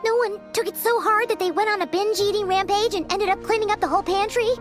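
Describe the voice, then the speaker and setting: Game role: small childlike fairy companion, squeaky and blunt, extremely high, animated pitch, music underneath. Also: female voice, fast. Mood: chatty, curious. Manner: perky, speaks of self in third person. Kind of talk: video game voice acting. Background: background music.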